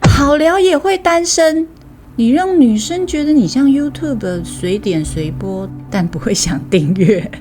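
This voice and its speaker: Casting: woman as bantering buddy for an audio story, blunt and teasing, lifted pitch, music behind. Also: expressive, a little slow, a little mellow. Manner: exclaiming, bantering. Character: blunt, teasing friend of a single man.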